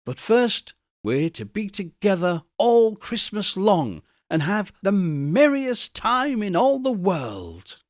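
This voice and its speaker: A man's voice: high voice, sing-song, slow pace, few pauses, no room sound, clean studio sound, voice pushed up, full character voice. A man voicing a gleeful, loving little girl in a storybook, speaking eagerly and affectionately.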